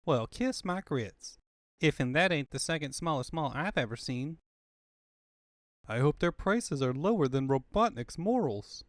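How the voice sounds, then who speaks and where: Man acting sassy cartoon rabbit woman, folksy Southern drawl, very animated, dry close sound, music behind. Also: on the high side, unhurried, no echo. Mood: wry. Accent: American South. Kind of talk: cartoon-style comic fandub.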